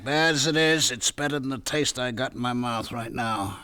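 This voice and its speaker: An actor playing a gruff but kindly middle-aged police lieutenant in a 1970s radio drama, speaking in a plain, weary, colloquial way. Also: male voice, medium pitch, normal speed, loud music behind the voice, natural voice. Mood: rueful.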